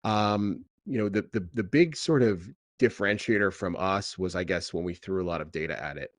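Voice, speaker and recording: A man with a very low voice talks casually in an interview, as a founder explaining his work. He pauses and pads his sentences with filler phrases, and the sound is close and dry. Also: no echo. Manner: hesitant, halting delivery with many filler phrases. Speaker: tech founder being interviewed.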